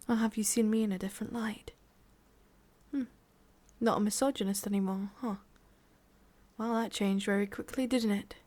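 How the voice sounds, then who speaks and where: A woman with an English accent playing a cruel, condescending female boss in an ASMR roleplay, murmuring with drawn-out pauses. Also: mid-range voice, a little mellow, lightly acted. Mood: mocking, cruel.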